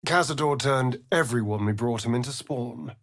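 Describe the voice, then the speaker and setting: An actor with an English accent playing a sardonic, flamboyant vampire spawn rogue in a video game, theatrical and arch in manner. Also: male voice, mid-range voice, normal speed, a bit bright, dry recording, studio quality, natural voice. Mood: grave. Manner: theatrical, arch, drawling with sighs. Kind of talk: video game character acting.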